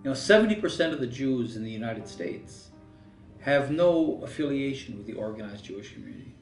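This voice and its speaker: A man's voice: medium pitch, animated, fairly quick. Man, documentary interviewee, emphatic and critical; roomy distant sound, faint music bed. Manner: critical, emphatic, rises sharply when mimicking others.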